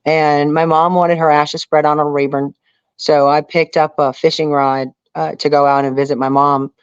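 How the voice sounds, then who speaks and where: A woman's voice: low voice, level tone, normal speed, smooth, dry recording. Female interview guest from Texas, candid conversational storyteller, dull lo-fi and very dry recording. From Houston, Texas area. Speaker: interview guest, outdoorswoman and reality show contestant.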